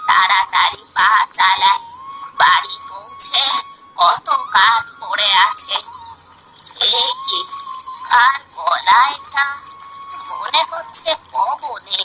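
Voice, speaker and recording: A woman playing a kindly, inquisitive old village granny in a cartoon story, speaking with deliberate concern. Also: mid-range voice, low-quality recording. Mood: weary. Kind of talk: Bengali cartoon story dialogue.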